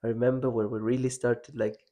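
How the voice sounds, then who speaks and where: Man from Switzerland, podcast host, soft mellow tone, thinks aloud with restarts. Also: mid-range voice, little pitch movement. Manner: reflective, halting, many restarts and fillers. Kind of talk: casual personal conversation.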